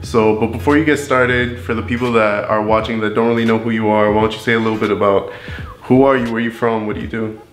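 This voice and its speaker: A man's voice: on the low side, level tone, fast talker, hardly any pauses. A young man hosting a casual interview on a living room couch, friendly and encouraging toward his guest. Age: young adult. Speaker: host. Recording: echoey room.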